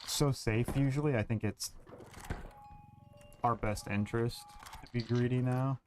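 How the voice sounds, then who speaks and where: A man's voice: mid-range voice, fairly even intonation, a little slow, darkish tone, faint music behind. A man streaming a game, chatting casually and thinking aloud with hesitant fillers. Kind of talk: casual gaming commentary.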